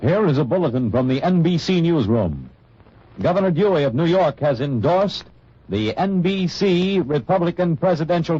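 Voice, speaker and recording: An American man reading a news bulletin in formal 1950s radio style with a husky voice. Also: fairly high pitch, mellow. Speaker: radio newsreader. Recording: muffled old radio recording.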